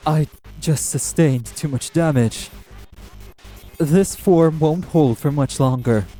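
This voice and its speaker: A man voicing a noble, wounded angel guardian who sounds weakened, speaking formally and haltingly in an audio roleplay. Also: a little high, dark tone, soft background music, pitched above the performer's own voice. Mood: pained, gentle. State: strained, weakened. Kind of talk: dramatic audio roleplay addressed to the listener.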